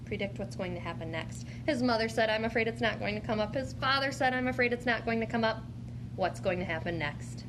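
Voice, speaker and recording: A woman's voice: fairly high pitch, brisk. A woman teacher demonstrating an interactive read-aloud, speaking to a child in an encouraging, questioning way. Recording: clear background noise.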